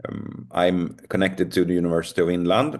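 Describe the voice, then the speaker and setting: A man's voice: low voice, level tone, a little fast, a little mellow. A middle-aged Swedish man with a Swedish accent, speaking English as a second language, giving a recorded lecture with frequent ums and uhs. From Sweden. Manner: hesitant, many ums and uhs, self-deprecating.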